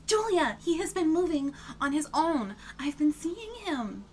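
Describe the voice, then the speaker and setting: A woman's voice: high-pitched, big pitch swings, a little slow, a little mellow, slight background noise. A woman playing a frightened but defiant young girl in an audio horror drama, pleading and insistent. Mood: agitated, insistent.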